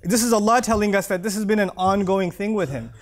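A man in his thirties giving an expressive Friday sermon in a small prayer hall, reciting verses and then explaining them. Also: high voice, fast talker. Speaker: preacher. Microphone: lapel mic.

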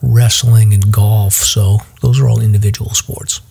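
A man's voice: fairly low pitch, fairly even intonation, a little slow, gravelly, dark tone, slightly rough. A middle-aged man, hosting a podcast in casual, rambling chat. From Massachusetts. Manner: chatty, rambling with fillers. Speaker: podcast host.

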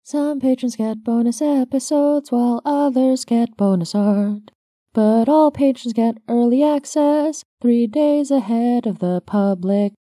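A woman's voice: a little high, a little slow, warm tone, no echo. A woman announcing her own podcast, speaking as its creator and host. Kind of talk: podcast announcement and patron plug.

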